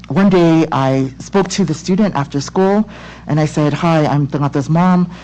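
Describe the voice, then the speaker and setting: A woman from Tonga speaking as a parent in public comment at a school board meeting, earnest and halting, with many restarts. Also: deep voice, warm tone, dull audio. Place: home room on a video call. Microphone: laptop or webcam mic on video call.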